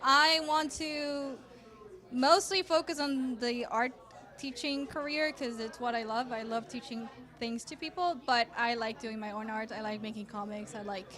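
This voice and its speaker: A woman's voice: a little high, fairly even intonation, normal speed, pushed and bright. A young woman from Costa Rica, an art teacher, chatting enthusiastically in an interview at an art gallery, laughing often. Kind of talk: casual interview chat.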